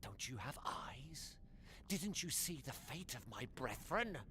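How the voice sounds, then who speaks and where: A man's voice: medium pitch, sing-song, slow, bright, forceful tone, exaggerated voice. A man voicing a captured cultist creature in a video game, fearful yet fanatical, with a hissing, whispery delivery. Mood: panicked dread. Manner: fanatical, dramatic.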